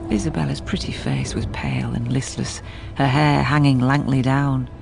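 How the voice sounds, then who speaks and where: A woman's voice: deep voice, creaky, darkish tone. A woman playing a sober housekeeper who narrates a gothic tale in a radio drama. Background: faint music.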